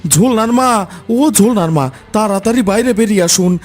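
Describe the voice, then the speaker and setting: Cartoon village man, extremely high-pitched, frantic, urgent, wailing. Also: fairly quick, a little mellow, soft background music. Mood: panicked. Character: panicked village man, frantic bearer of bad news.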